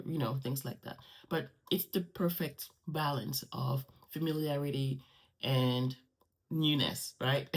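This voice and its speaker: A woman whose first language is French, chatting casually to her viewers in an animated way and laughing often. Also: sits low. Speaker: vlogger. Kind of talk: casual chat to camera.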